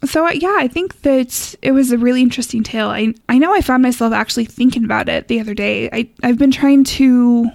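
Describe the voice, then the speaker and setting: Female podcast host, casual and reflective, higher-pitched with pronounced creak, close dry sound. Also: brisk, hardly any pauses, darkish tone, no room sound. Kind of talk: casual chat.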